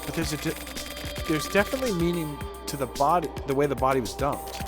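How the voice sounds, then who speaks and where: A man's voice: on the high side, fast. A man streaming a game, reading its text aloud in character with a theatrical, colloquial delivery. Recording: music playing behind the voice.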